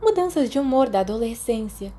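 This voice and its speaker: Woman playing huffy Brazilian schoolgirl, scornful, emphatic, raised pitch, storybook dialogue. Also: expressive, fairly quick, mellow, slight background noise, close to an everyday voice. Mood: decisive. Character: exasperated, scornful red-haired teenage schoolgirl.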